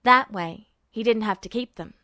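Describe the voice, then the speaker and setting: A woman's voice: on the high side, normal speed, pushed and bright, dry recording, studio quality. A woman voicing a laid-back, blunt teenage boy who muses aloud in a story reading. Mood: musing. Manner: casual, musing.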